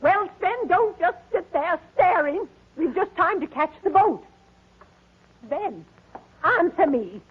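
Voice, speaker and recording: A woman's voice: very high-pitched, expressive, slow pace, exaggerated voice. An actress playing a crafty old woman in a 1940s radio crime drama. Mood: menacing, commanding. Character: old woman, dotty when acting innocent, steely criminal underneath. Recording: dull old radio recording, faint noise.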